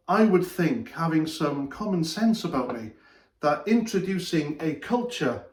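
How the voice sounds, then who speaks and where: A man's voice: fairly high pitch, a little mellow. Ranting Welsh man, echoey room. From Wales. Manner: blunt, ranting. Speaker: channel host. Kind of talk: opinion monologue. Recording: echoey room, distant mic.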